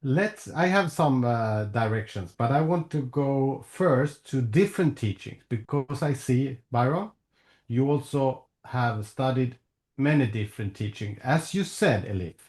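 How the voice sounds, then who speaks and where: A man's voice: mid-range voice, animated, a little slow, darkish tone. A man hosting a spiritual podcast over a video call, asking long questions and often restarting his sentences. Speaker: podcast host. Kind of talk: interview questions.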